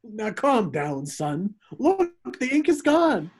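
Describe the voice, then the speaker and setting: A man acts out a jovial old geezer who lives for gags. The delivery is gleeful and jokey, leaping up and down in pitch. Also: sing-song, takes it slowly, husky, exaggerated voice. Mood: placating. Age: older. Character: silly jovial old prankster geezer, gag tycoon. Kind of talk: amateur table read of a film script.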